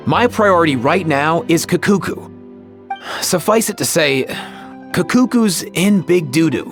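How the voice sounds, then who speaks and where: An exasperated young guy, lively swings. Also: a little high, a little slow, soft background music. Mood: worried, weary. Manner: sardonic, sighing, slangy. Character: overworked, exasperated young man, the responsible older companion. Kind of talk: anime game voice acting.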